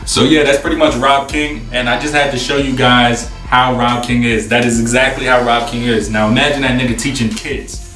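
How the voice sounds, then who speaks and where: A man in his twenties with a New York accent, telling a story casually to camera in a slangy, profane, animated way. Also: mid-range voice, brisk, hardly any pauses. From New York City, USA. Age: 20s. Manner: slangy, profane, animated retelling with acted-out dialogue. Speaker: host. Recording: roomy echo, distant mic, faint music.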